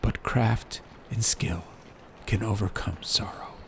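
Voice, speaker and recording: A man reading a story as an atmospheric audiobook narrator, in measured short phrases with pauses. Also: on the low side, steady tone. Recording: clear background ambient noise. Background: ambience.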